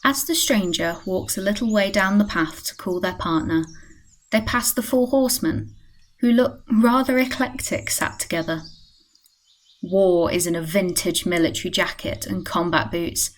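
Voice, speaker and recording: A woman's voice: lowish voice, normal speed, rough recording. A woman giving scripted narration for a radio play, reading out stage action.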